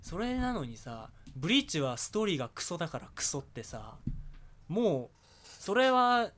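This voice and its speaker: A man's voice: a little high, sing-song, normal speed, fairly bright tone. A man, co-hosting a podcast in casual, opinionated chat. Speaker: podcast co-host.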